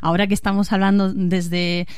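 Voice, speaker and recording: A woman's voice: mid-range voice, level tone, normal speed, darkish tone, clear, resonant tone, no room sound, studio quality. A woman hosting a conversational literary interview on a podcast. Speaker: podcast host interviewing a writer.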